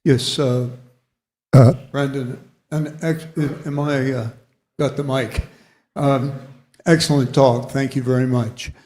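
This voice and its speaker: A man in the audience asking a question, hesitant and halting. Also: mid-range voice, flat delivery, darkish tone. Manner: hesitant and halting, searching for words. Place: lecture hall audience.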